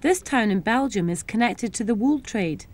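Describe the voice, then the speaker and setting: Bright young woman presenting schools TV. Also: on the high side, lively intonation, moderate pace. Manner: clear, simple explanations for children.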